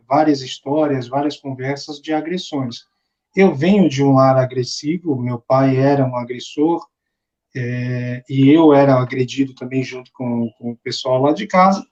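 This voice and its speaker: A man's voice: on the high side, darkish tone, muffled recording. A man from Chile, a martial arts instructor guest, talking easily with some hesitant restarts. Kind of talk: casual interview talk.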